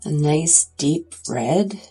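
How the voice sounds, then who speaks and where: A woman's voice: low-pitched, lively intonation, extremely slow, dark tone, breathy edge, soft background music. A congested woman chatting casually and enthusiastically in a makeup review video. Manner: chatty, enthusiastic about swatches. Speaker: beauty vlogger.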